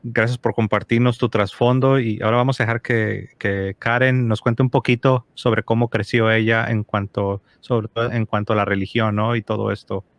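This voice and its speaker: A man's voice: lowish voice, flat delivery, moderate pace, few pauses, no room sound. A man hosting a conversational interview, talking in long rambling sentences with frequent fillers and restarts. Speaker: host.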